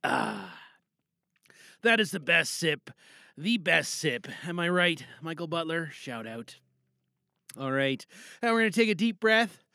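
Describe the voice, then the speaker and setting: A woman's voice: low voice, very animated, unhurried, creaky, long pauses, bright, forceful tone, no room sound, studio quality. A woman chatting casually and playfully to listeners as she introduces her own monologue performance. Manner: playful, jokey.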